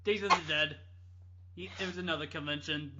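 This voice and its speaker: A man telling anecdotes casually on a podcast, in an animated, rambling, jokey way. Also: on the high side, brisk, a little hiss or hum. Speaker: podcast storyteller. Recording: dull lo-fi podcast audio.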